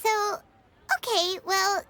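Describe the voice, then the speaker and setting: A tiny squeaky fairy sidekick. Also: female voice, fairly even intonation, slow, background noise. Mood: puzzled. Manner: squeaky, inquisitive, speaks of self by name. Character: tiny, childlike, curious female fairy companion. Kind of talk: cartoonish video game dialogue acting.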